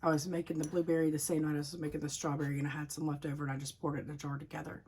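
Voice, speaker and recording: A woman with a Southern US accent chatting casually and informally to viewers as a home video host, her voice slightly hoarse and recovering. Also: deep voice, little pitch movement, rapid-fire, mellow, a little hiss or hum. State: slightly hoarse after a cough and lost voice.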